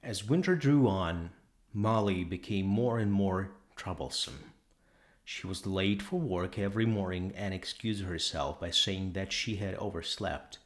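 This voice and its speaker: A man reading a literary story as an audiobook narrator, with measured, deliberate, dramatic phrasing. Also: deep voice.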